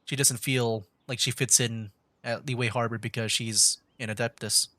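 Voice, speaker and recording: A man's voice: medium pitch, steady tone, moderate pace, pushed and bright, dry recording. A man streaming a game, giving casual live commentary, thinking aloud with mild exclamations. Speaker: streamer, VTuber gamer. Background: faint game music.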